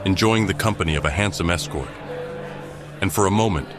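A man narrating a scripted audio drama in a measured, dramatic storytelling manner. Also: low-pitched. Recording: loud music behind.

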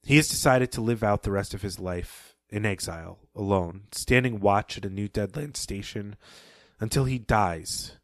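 Low-voiced man recapping a story. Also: moderate pace, no room sound. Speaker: podcast co-host.

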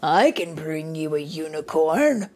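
A woman's voice: low voice, animated, unhurried, background noise. Woman putting on a whining giant. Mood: whining, pleading. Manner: whining, then gleeful. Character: whining hungry male giant, huge and boastful.

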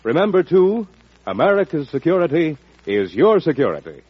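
A man's voice: on the high side, big pitch swings, normal speed, breathy edge, dry recording. A man reading a scripted announcement in the style of a mid-century radio announcer. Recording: dull, dry vintage radio recording.

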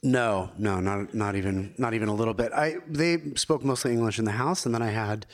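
A middle-aged American man speaks in a fairly low register. He tells personal stories in a casual, unpolished, self-deprecating way, like a guest being interviewed. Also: fairly quick. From Pittsburgh, United States, partly Israel. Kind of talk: casual conversational storytelling.

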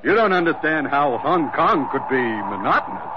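Folksy, high-voiced American first mate, animated and loyal, old noisy radio recording. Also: male voice, normal speed, lo-fi sound. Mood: breezy, hearty. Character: breezy, loyal male first mate aboard a sailing ship. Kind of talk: classic American radio adventure acting.

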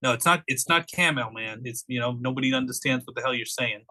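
A man's voice: mid-range voice, fast, bright, forceful tone. A man with a Boston accent, a guest author chatting casually in an easygoing, wry way. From Boston area. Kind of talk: casual interview chat.

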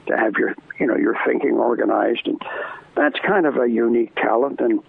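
A man's voice: mid-range voice, brisk, creaky, husky. An older man from Canada, a former arena announcer, chatting as an interview guest, rambling with many restarts and fillers. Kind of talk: casual interview chat. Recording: tinny phone line.

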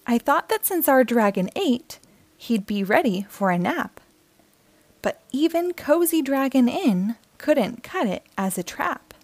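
A woman's voice: sits high, very animated, a little slow, darkish tone, no room sound, exaggerated voice. A woman reading the part of an eager, determined child dragon hunter in an earnest storybook delivery.

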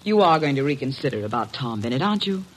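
An actress playing a sharp, long-serving secretary with a defiant streak in an old-time radio drama. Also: female voice, extremely low pitch, very animated, fast, background noise. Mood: pleading.

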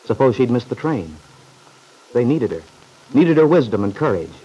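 A man's voice: medium pitch, very animated, moderate pace, dark tone, breathy edge, rough recording. A man narrating a vintage radio serial from a script.

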